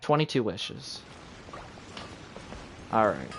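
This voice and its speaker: A man's voice: fairly low pitch, sing-song, slow. A man casually commentating as he streams a game, chatting and muttering to himself. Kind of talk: casual live gameplay commentary. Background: video game music.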